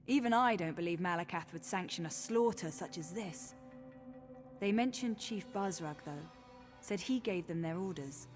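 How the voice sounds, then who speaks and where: An actress playing a brave, protective orc high priestess in a video game, resolute and earnest in her delivery. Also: normal speed, lightly acted. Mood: thoughtful, concerned. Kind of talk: dramatic video game voice acting. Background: game music.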